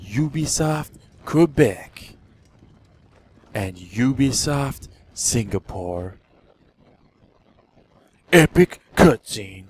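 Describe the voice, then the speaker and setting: A man's voice: mid-range voice, animated, drawn-out words, long pauses, breathy edge. A man giving playful, silly reaction commentary over a video game. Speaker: gamer commentator.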